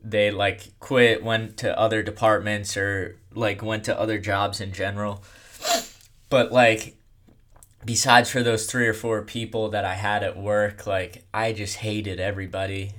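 A young man telling his own story in a casual, rambling way, with a monotone delivery and lots of fillers. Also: on the low side, unhurried. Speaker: content creator.

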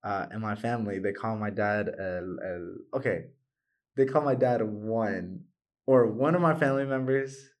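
Young man, lowish voice, chatting informally. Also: moderate pace. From United States, of Mexican family. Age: 20s. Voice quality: loud voice. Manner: informal, talks in loose loops with frequent "like" and "you know". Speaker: interview guest, graduating student. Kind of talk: casual conversational interview answers.

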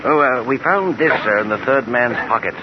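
Casting: male actor as dutiful first officer, deferential and formal, middle pitch, radio drama under heavy noise. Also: lively intonation, a little fast, natural voice. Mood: puzzled, deferential. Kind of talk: old-time radio thriller acting. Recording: muffled, very noisy old radio recording.

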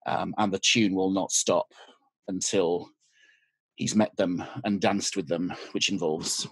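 A man's voice: sits low, steady tone, takes it slowly, husky, no echo, poor audio. A man with an English accent chatting casually as a podcast guest, hesitant and often restarting his thoughts. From England. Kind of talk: casual interview chat.

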